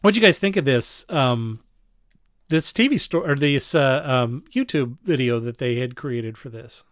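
A man hosting a casual podcast discussion, explaining things in a halting, rambling way and often correcting himself. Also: mid-range voice, lively intonation, no echo, studio quality. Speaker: podcast host.

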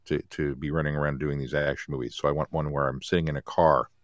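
A man co-hosting a podcast, chatting casually about films in a halting, wry manner. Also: a bass voice, flat delivery, no room sound, clean studio sound. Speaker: podcast host. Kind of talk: casual chat, joking film talk.

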